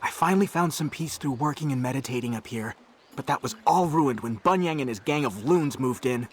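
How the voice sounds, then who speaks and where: A man's voice: mid-range voice, expressive, a little fast, breathy edge. A man playing an earnest, timid young man in a video game, apologetic, fretful and given to complaining. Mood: apologetic, put out. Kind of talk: video game character acting.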